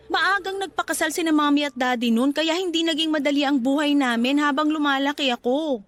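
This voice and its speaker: A woman's voice: sits high, fairly bright tone. An actress playing an anxious, ambitious young woman pleading earnestly with her boyfriend in a radio drama. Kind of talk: Tagalog radio drama acting.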